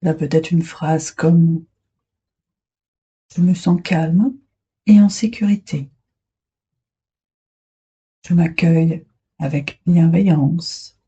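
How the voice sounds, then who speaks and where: A woman guiding a relaxation meditation as a yoga instructor, soothing and unhurried, speaking in short phrases with long pauses. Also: sits low, mellow, breathy edge, lo-fi sound.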